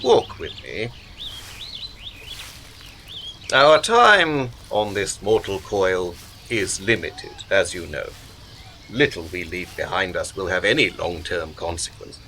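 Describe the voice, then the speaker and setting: An actor in a radio play, playing a smooth political patron who speaks in measured, coaxing rhetoric and turns grandly philosophical. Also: male voice, deep voice, big pitch swings, pushed and bright, heavily acted. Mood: reflective, needling. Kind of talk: radio drama acting.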